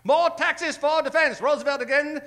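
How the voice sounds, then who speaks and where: 1940s newsreel announcer, super high voice, bright n punchy, way over-emphatic. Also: male voice, moderate pace. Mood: grandly upbeat. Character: excitable old-time newsreel announcer, bombastic man. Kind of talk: parody 1940s newsreel narration.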